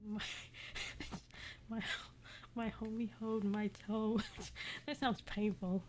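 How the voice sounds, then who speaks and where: A woman streamer reading fan fiction aloud casually, giggly and self-censoring, often dropping into a breathy near-whisper. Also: mid-range voice, flat delivery, slow pace, darkish tone, a little hiss or hum.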